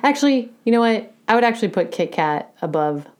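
An adult woman with a loud voice, co-hosting a casual chat in a playful, teasing way. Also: fairly high pitch, expressive, fairly quick. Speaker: podcast co-host.

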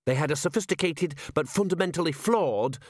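Man putting on an eager, high-pitched earnest constable. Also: brisk, no room sound, studio quality, lightly acted. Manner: deferential, formal, pedantic. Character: earnest, eager, literal-minded male police constable.

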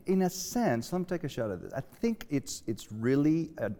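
A middle-aged man from Latin America talking in a panel discussion, haltingly thinking aloud with repeated false starts. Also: on the high side, very animated, mellow. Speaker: panel guest. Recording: long hall-like reverb.

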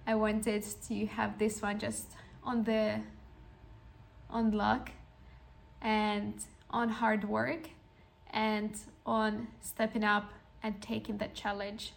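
Young woman podcaster, kinda even tone with lots of fry, earnest pep-talk storytelling. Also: medium pitch. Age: young adult. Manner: earnest, reflective, motivational. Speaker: podcast host. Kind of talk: personal storytelling monologue.

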